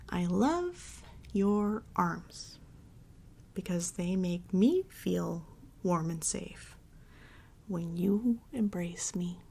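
A woman playing a tender, devoted girlfriend and mommy dom in an intimate ASMR roleplay, breathy and often whispering as she soothes her partner. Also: lowish voice, lively intonation, heavily acted. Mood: adoring. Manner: soft, soothing, slow and affectionate.

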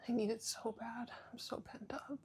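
A woman whispering an intimate roleplay monologue close to the mic, breathless and pleading, with soft moans between words. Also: on the high side, fairly even intonation, moderate pace, a little hiss or hum. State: aroused and breathless. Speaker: audio roleplay performer.

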